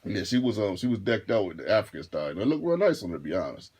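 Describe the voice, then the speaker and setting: A man in his thirties with a deep, low voice, chatting straight to the camera in a loose storytime. He takes his time, repeats himself and circles back mid-sentence in an easygoing way. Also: very animated, very fast, darkish tone, slightly rough. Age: 30s. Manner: laid-back, rambling, repeats and restarts phrases. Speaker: vlogger and rideshare driver. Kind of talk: casual storytime monologue.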